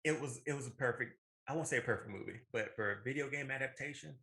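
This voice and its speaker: A man's voice: medium pitch, fast, vocal fry, sharp tone. A man co-hosting a casual podcast, talking emphatically and rambling as he corrects himself. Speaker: podcast co-host. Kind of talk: casual animated movie discussion.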